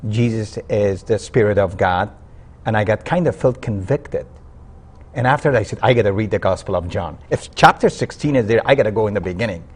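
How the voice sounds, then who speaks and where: Earnest man from Afghanistan, low voice. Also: breathy edge. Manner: earnest, halting with fillers and restarts. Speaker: guest. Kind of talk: interview testimony.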